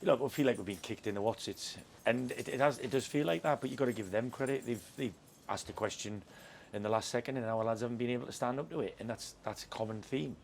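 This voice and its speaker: A man's voice: medium pitch, fast talker, gravelly, few pauses, slight background noise. A man who manages a football club, talking frankly and reflectively in a post-match interview, often repeating words as he thinks. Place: football stadium.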